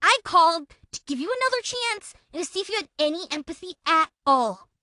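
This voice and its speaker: Woman putting on a very high, indignant shrill woman. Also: animated, fairly quick, pushed and bright, no room sound, studio quality, heavily acted. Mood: indignant, threatening. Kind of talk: dramatic reading of text messages.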